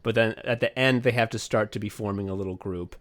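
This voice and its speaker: A young man chatting casually as a podcast co-host, rambling excitedly and swearing often as he walks through his own thinking. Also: fairly low pitch, quick pace. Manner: rambling, profane, excitable, acts out his own thought process. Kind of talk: casual behind-the-scenes chat.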